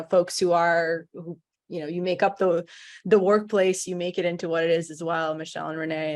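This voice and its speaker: A woman's voice: fairly low pitch, dry recording, clean studio sound. A woman hosting a panel, speaking in a casual, chatty and appreciative way as she moderates.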